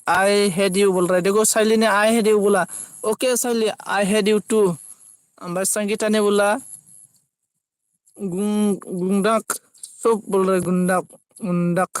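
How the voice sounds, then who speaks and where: High-pitched man, halting livestream chatter. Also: smooth, no echo. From Assam, India. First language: Bodo. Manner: halting, repeats phrases as he reads. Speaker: live streamer. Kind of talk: casual live chat, reading out comments.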